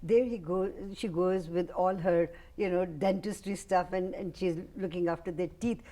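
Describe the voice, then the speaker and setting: Mellow woman hosting an interview. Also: fairly low pitch, fairly even intonation, moderate pace. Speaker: talk show host.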